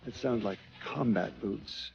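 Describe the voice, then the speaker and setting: An actor playing a weary, haunted American man who gives brooding, deliberate testimony in a video game cutscene. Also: lowish voice, creaky, a little mellow, dull audio, slight background noise. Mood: haunted, bitter.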